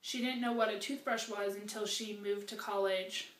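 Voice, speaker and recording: A woman in her twenties from Colorado, making deadpan jokes about her roommate. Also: medium pitch, normal speed. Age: 20s. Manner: deadpan joking. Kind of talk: casual chat to camera. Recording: echoey room, faint background noise.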